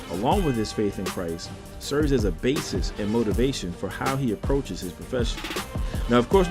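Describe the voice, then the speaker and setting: Friendly easygoing male podcast host. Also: mid-range voice. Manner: friendly, easygoing, laughs along. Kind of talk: scripted intro, then casual interview.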